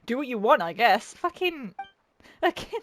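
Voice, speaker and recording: A woman's voice: on the high side, sing-song, moderate pace, heavy background noise. A woman giving casual, sweary, joking reaction commentary over a video game. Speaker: gaming commentator.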